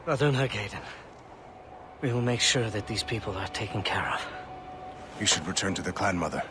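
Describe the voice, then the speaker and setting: A man acts out a dignified warrior brother in a video game. His low voice is earnest and grateful. Noisy ambience sits behind it. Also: expressive, fairly quick, natural voice. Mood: relieved, grateful. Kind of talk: video game cutscene acting.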